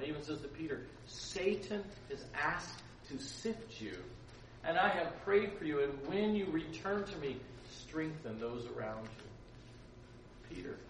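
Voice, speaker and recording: A man preaching a lively storytelling sermon, acting out voices and laughing at his own asides. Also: on the high side, normal speed. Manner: acts out voices, laughs often, chatty asides. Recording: roomy echo, distant mic, background noise.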